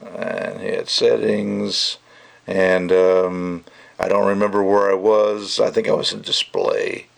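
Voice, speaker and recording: A man with a lowish voice full of heavy fry and gravel talks through a casual product review. He rambles conversationally, drops in asides and states his opinions with emphasis. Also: level tone, slow. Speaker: gadget reviewer.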